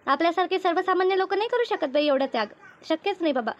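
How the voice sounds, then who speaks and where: A woman's voice: very high-pitched, expressive, fast talker, highs cut off. A woman voicing an anxious, tender-hearted older village aunt with a rural Maharashtrian accent, fretfully repeating herself in an amateur cartoon dub. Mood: moved, admiring. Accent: rural Maharashtra Marathi. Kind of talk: amateur Marathi cartoon dubbing.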